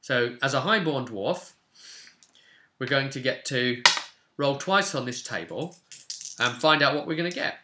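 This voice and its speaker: A man's voice: medium pitch, lively intonation, measured pace, long pauses, noisy background. A man in his twenties casually talking through tabletop game rules and reading parts aloud, recorded at a desk in a small room at home. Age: 20s. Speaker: tabletop roleplay channel host.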